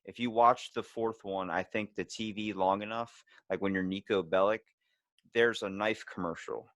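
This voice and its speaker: A man's voice: lowish voice, fairly even intonation, moderate pace, a bit bright, no room sound. A man hosting a podcast in casual, joking chat with some swearing. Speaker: podcast host.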